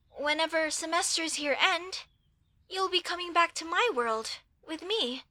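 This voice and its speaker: A woman's voice: high-pitched, pushed and bright, dry recording, full character voice. A woman voicing an earnest, apologetic young elf mage student in a comedy audio roleplay, talking in a hesitant, chatty way. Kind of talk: comedy audio roleplay, speaking softly to the listener.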